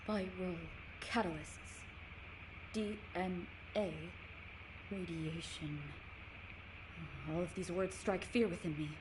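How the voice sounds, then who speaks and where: A lowish, unhurried woman's voice for a wary game ally, each word weighed with wry unease. A steady background noise sits under her. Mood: uneasy.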